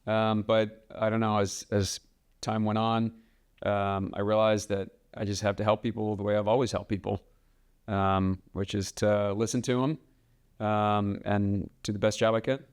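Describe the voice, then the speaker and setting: Podcast guest, man, late 30s, from the DC area; resonant, low, unhurried and reflective. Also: level tone, clean tone. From Washington DC area, US. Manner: measured, thoughtful, with frequent ums. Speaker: guest, real estate broker. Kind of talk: casual podcast interview.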